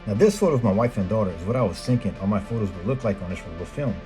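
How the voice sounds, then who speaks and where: Low-voiced American man narrating over music. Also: expressive, very fast, low-quality recording. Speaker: video host and film photographer. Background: background music.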